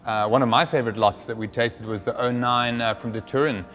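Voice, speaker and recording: A middle-aged man, speaking as a wine specialist in a measured way with frequent hesitant fillers, standing in a decorated indoor room. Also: medium pitch, steady tone, a bit bright, faint music behind. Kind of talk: conversational presentation.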